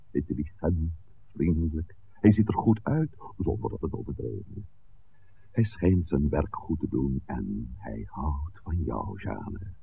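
Shrewd, genial old priest, swooping expressively, slyly persuasive, on a tinny old radio recording. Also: male voice, rumbling low, creaky, a little hiss or hum, full character voice. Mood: smooth, firm. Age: older. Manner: deliberate, eloquent, theatrical. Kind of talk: 1960s Dutch radio play acting.

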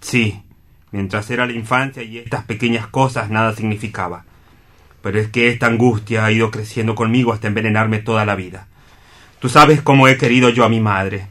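A man's voice: lowish voice, fairly even intonation, brisk, a bit bright, lightly acted. A man acting in a radio play as a bitter, anguished brother, speaking with impassioned reproach. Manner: impassioned, reproachful, self-pitying.

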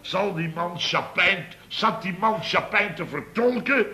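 Sharp, blustering boss. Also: male voice, high voice, animated, a little slow, roomy sound, heavily acted. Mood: blustering, sarcastic. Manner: emphatic storyteller, repeats himself, sardonic. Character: blustering, exasperated male boss of a small building firm. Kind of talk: 1970s radio comedy acting. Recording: noisy vintage radio recording.

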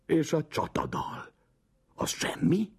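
A man's voice: a little high, wildly swooping pitch, gravelly, whispered. A man giving a dramatic literary reading in a theatrical, ironic manner.

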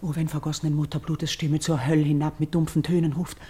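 A noble priestess in a classical radio play. Her voice is low and mellow, with a breathy husk. She declaims verse solemnly and pleads with tender gravity. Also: little pitch movement. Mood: pleading, earnest. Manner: solemn, elevated, measured declamation with breathy hushed phrases. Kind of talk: classical German verse radio drama acting.

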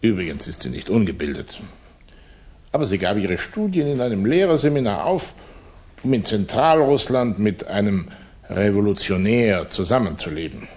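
An actor playing a cunning Russian émigré revolutionary in a German radio play, flattering and condescending, with florid, oily politeness. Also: male voice, lowish voice, normal speed, breathy edge. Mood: suave, probing.

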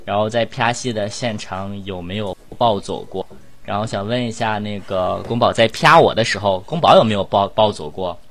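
A male voice actor chatting casually in a blunt, animated and exclamatory way. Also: lowish voice, normal speed. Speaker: voice actor in an audio drama. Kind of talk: casual chat.

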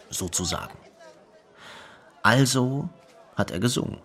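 Gravelly, animated man reminiscing. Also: on the low side. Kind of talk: radio play documentary monologue.

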